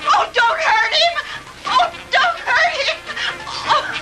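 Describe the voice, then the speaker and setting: An actress playing a frightened young captive in a 1960s B-movie melodrama, crying out shrilly and pleadingly on an old film soundtrack. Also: female voice, extremely high pitch, slow, music in the background, rough recording. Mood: panicked.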